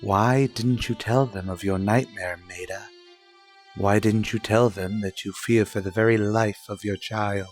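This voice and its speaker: An ominous male narrator for a melodramatic radio serial, played by an actor. His low voice stays very level and portentous, with music playing faintly underneath. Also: steady tone, a little slow, close to an everyday voice. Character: ominous male narrator taunting a fearful heroine.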